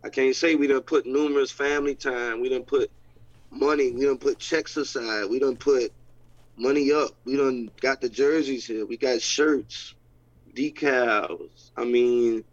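A man talks excitedly as a football head coach, repeating phrases in loose, informal speech. His voice sits at a middle pitch. The recording sounds dull and lo-fi. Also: measured pace. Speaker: head coach and team owner. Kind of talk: casual chat.

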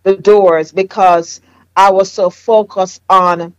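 A woman's voice: medium pitch, steady tone, slow, clear, resonant tone, no room sound, dull audio. A woman with a Jamaican accent, a therapist sharing her faith story in an earnest, reflective conversation. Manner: earnest, reflective, frequent fillers. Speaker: therapist and business owner.

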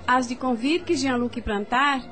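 A woman's voice: high-pitched, unhurried, a bit bright. A woman acting an elegant, sociable society hostess aunt in a radio drama, with a Brazilian accent and an effusive, genteel manner. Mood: effusive, curious. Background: music.

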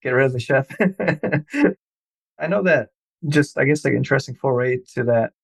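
Gravelly-voiced male podcast host. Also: medium pitch, expressive, normal speed, no room sound. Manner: loose and chatty, laughs often. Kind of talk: casual interview chat.

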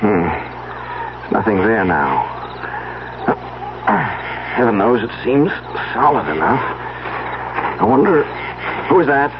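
An actor playing an earnest, questioning young husband in a 1970s radio drama, intense in manner. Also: male voice, lowish voice, big pitch swings, takes it slowly, pauses often. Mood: curious. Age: young adult. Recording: muffled, noisy old radio broadcast.

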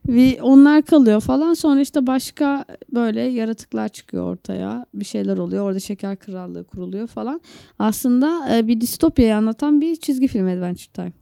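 A woman's voice: a little high, normal speed, vocal fry, dark tone, dry recording, studio quality. A woman, co-hosting a podcast in casual, opinionated chat. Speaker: podcast co-host.

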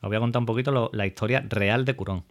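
A man hosts his own podcast. His voice sits fairly low, and his talk is loose and informal, full of fillers and restarts. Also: fast. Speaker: podcast host. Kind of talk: casual podcast chat.

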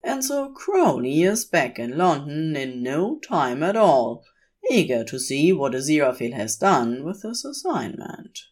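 A woman's voice: low voice, very animated, measured pace, darkish tone. A woman reading scripted fiction aloud as a podfic narrator.

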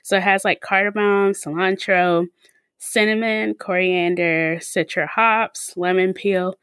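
A woman's voice: medium pitch, steady tone, unhurried, a bit bright, clean tone, no echo. A woman co-hosting a casual podcast, chatting loosely with many "like"s and "um"s. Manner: chatty, lots of likes and ums. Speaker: podcast co-host. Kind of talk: casual chat.

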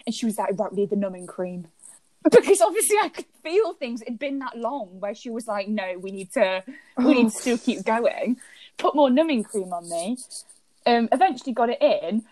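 A woman's voice: fairly high pitch, moderate pace, dry recording. Chatty young woman co-host. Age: early 20s. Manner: chatty storyteller, lively quoted voices. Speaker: radio co-host. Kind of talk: casual chat.

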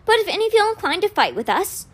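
A woman voicing a kindly, wise old man in an audiobook, patient and measured, with a gentle gravity. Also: very high voice, very animated, voice pushed up. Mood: courteous, earnest. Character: kindly, wise old male magician mentor, gently grave.

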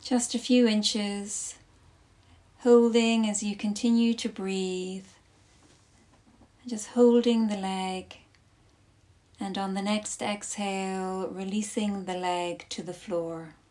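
A woman leading a guided yoga nidra class as instructor, speaking soothingly in short instructive phrases with long pauses. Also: mid-range voice, fairly even intonation, darkish tone.

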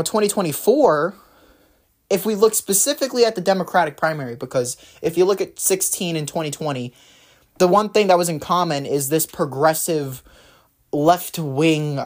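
Guy rambling on his own show, kinda raspy, stuffy nose, lots of um and you know. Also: a little high. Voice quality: slightly raspy. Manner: rambling, many fillers and restarts. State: runny nose, maybe a cold. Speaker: podcast host. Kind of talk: casual solo political commentary.

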